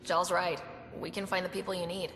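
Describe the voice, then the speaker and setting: A woman's voice: on the low side, little pitch movement, fairly quick, noisy background, natural voice. A woman playing a friendly, wry young human explorer in a video game, talking casually. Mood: sincere. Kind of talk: dramatic game dialogue. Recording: echoey hall, faint music behind.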